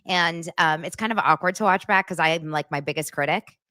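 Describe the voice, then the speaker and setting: A woman's voice: low voice, sharp tone. Chatty middle-aged woman podcast host. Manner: chatty, quick, laughing asides. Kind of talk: casual pop culture chat.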